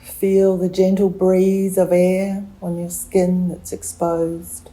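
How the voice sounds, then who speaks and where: A woman leading a guided relaxation meditation, giving gentle, soothing instructions with long unhurried pauses. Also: lowish voice, monotone, poor audio.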